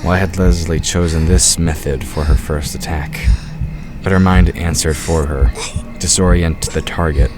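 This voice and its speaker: A man with an exceptionally low voice narrates a fantasy audio drama. He reads scripted prose as the story's narrator, with music clearly playing behind him. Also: steady tone, measured pace.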